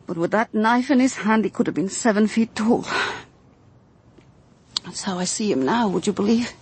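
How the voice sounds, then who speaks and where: An actress playing a tough, haunted woman with a husky, breathy voice, speaking intimately in a radio drama. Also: mid-range voice, lively intonation, moderate pace, a little mellow. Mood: haunted, brooding. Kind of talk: broadcast radio drama acting.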